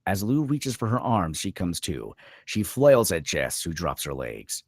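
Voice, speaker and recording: A man's voice: deep voice, very animated, measured pace, slightly rough. A man reading stage directions as narrator at a screenplay table read.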